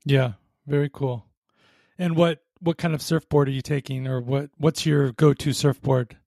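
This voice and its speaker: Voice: medium pitch, fairly even intonation, normal speed, vocal fry, mellow, no echo, clean studio sound. A podcast interviewer asking questions in a casual, informal and encouraging way.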